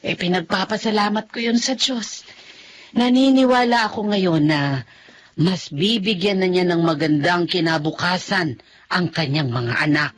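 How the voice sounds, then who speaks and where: An actress playing a caring, devout elderly grandmother in a Filipino radio drama, speaking gently and expressively. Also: lowish voice, unhurried, lightly acted. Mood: relieved, thankful.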